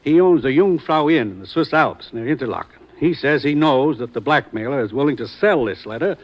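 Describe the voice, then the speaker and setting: A stern American spy chief in a vintage radio play , acted by a man. He sounds businesslike and authoritative, giving orders in a medium-pitched voice. The old recording is dull and band-limited. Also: expressive, brisk, natural voice. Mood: grave, businesslike. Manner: authoritative, clipped. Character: stern, businesslike male government commissioner. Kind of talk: 1950s radio drama acting.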